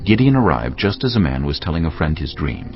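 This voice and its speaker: A man's voice: very deep voice, big pitch swings, brisk. A man narrating a dramatised audio Bible in a scripted, dramatic storytelling style. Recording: muffled audio under music.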